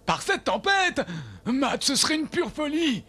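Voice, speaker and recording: A man's voice: very high voice, big pitch swings, unhurried, sharp tone, heavily acted. A man playing an anxious, careworn village doctor with a grave manner in a French cartoon dub. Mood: worried. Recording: music behind the voice.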